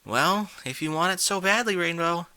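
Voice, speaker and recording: A studious young cartoon unicorn mare, voiced by a man, speaking in a questioning way. The pitch is lifted well above a man's everyday talk, and the tone is very bright in a storybook reading. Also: normal speed, exaggerated voice. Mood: puzzled, reluctant. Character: young female unicorn pony, bookish, puzzled, gently exasperated.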